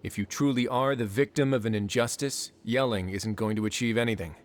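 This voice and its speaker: A man voicing a shrewd, authoritative duke in a video game, measured and dryly matter-of-fact, with music underneath. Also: medium pitch, natural voice. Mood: firm. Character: composed, shrewd, authoritative male duke in charge.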